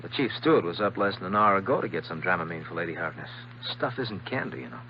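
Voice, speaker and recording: An actor playing a formal, curt, officious ship's doctor in a 1950s radio drama. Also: male voice, sits low, expressive, fast talker. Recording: dull, faintly noisy old radio recording.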